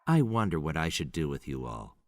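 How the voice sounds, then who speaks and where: A man's voice: rumbling low, sing-song, fairly quick, no room sound. A man acting in an audio drama as a stern, sarcastic commanding officer with a biting, commanding manner and cold anger. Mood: biting sarcasm.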